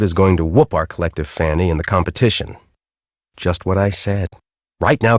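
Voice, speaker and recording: An actor playing a grumpy, bossy middle-aged storm-chasing professor in a video game, with an American accent and a brusque, sarcastic, exasperated manner. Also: male voice, deep voice, no echo, clean studio sound. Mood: brusque, businesslike. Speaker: video game character, research team leader.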